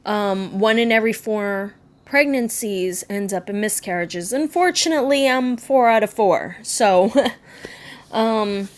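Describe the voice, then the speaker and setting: A woman in her mid-thirties, speaking candidly in a personal vlog, subdued and halting, with frequent ums and restarts. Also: medium pitch. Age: 30s. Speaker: vlogger. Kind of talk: personal vlog talking to camera.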